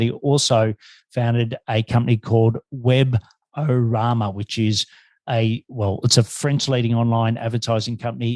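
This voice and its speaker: A man's voice: medium pitch, a little mellow, no echo. A man hosting a podcast and interviewing in a conversational way.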